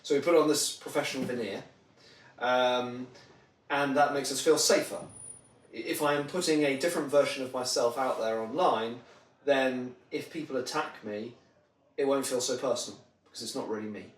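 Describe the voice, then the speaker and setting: A man speaks as an interview guest in a roomy space, sitting a little away from the microphone. His delivery is conversational and explanatory, built around examples, in a medium-pitched voice. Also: normal speed. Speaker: podcast guest, marketing trainer. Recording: roomy echo, distant microphone.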